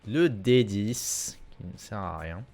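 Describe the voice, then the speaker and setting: Gamer guy, lowish voice, easygoing play-along commentary at his desk mic. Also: takes it slowly, vocal fry. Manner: relaxed, rambling, many fillers and repeats. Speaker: gaming YouTuber. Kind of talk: casual gameplay commentary. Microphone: desk mic at a gaming setup.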